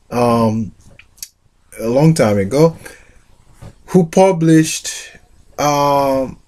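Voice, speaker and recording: A middle-aged man who introduces a poetry collection and reads a poem aloud, speaking haltingly with many fillers. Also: mid-range voice, a little mellow. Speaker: literature teacher.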